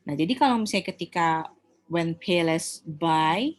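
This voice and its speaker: A woman's voice: fairly low pitch, lively intonation, normal speed. A woman with an Indonesian accent giving an informal lecture, explaining in a conversational way and often checking that listeners follow. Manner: conversational, often checking understanding with tag questions. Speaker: accounting lecturer.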